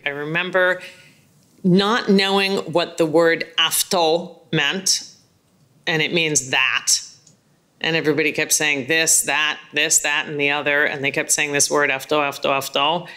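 A middle-aged woman, a language professor, speaking in a thoughtful, measured way in an office. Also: low voice, fairly even intonation, sharp tone. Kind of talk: interview reflection. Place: office indoors. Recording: music under voice. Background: music.

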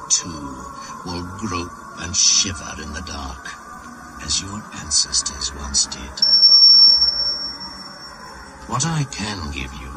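An actor playing an ancient male shaman who is also Father Christmas, speaking slowly and solemnly in a 1980s radio play. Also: exaggerated voice, rough recording. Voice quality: deep, slow, grave and intoning. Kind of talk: 1980s radio drama acting. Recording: music bed behind the voice.

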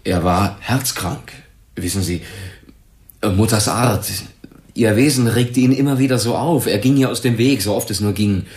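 A man's voice: lowish voice, moderate pace, darkish tone, roomy sound, natural voice. An actor playing a defensive, troubled businessman under questioning in a German crime radio drama, explaining himself earnestly and hesitantly. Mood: subdued, confiding.

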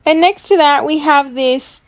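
A woman's voice: high-pitched, unhurried, soft background music. A woman giving chatty, gushing commentary as a gaming YouTuber unboxing items in a game. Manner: enthusiastic, gushing.

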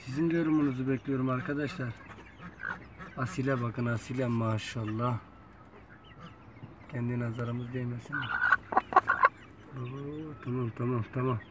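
A man's voice: mid-range voice, fairly even intonation, normal speed, long pauses, heavy background noise. A man talking casually to his viewers while filming his chickens in an outdoor yard on a phone camera, chatty and coaxing. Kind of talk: casual vlog talk to viewers.

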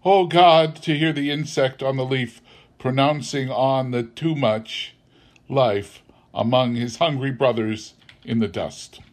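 A man's voice: mid-range voice, slow pace, lightly acted. A man voicing a stern, solemn spirit who rebukes in a grave, oratorical manner.

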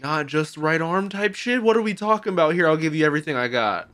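A young man reacting out loud to an anime episode, exclaiming and swearing casually into a boom mic in a home streaming room. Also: animated, quick pace. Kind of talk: excited reaction commentary. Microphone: boom mic with headphones. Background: anime soundtrack playing.

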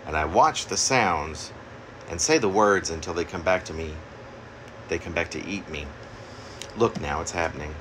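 A man reading his own poem aloud, haltingly, repeating phrases like an incantation, recorded on a phone in a room at home. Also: sits low, noisy background. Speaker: poet reading own work. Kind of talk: poetry reading. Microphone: phone at arm's length.

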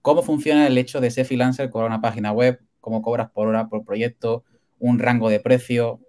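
A man's voice: mid-range voice, fairly even intonation, fairly quick, darkish tone. A young man asking short, prompting questions on a video call from a home studio room.

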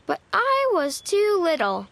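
A woman's voice: very high voice, huge pitch swings, takes it slowly, gravelly, a bit bright, full character voice. A woman voicing a sweet, eager little animal child who tells a storybook tale in a simple, earnest, childlike manner. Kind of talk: read-aloud children's storybook narration.

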